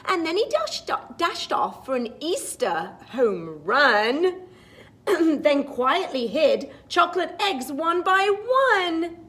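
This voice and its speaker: A woman storytime teacher reading a picture book aloud to young children, expressive and sing-song, with chatty asides. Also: sits high, slow pace.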